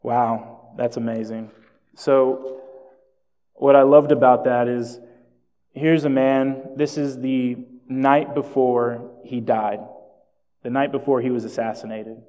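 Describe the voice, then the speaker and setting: A man's voice: mid-range voice, steady tone, takes it slowly, warm tone. Man preaching in a reverberant hall. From born in Jamaica, raised in South Florida. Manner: conversational, storytelling with self-deprecating asides. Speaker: pastor. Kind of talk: sermon.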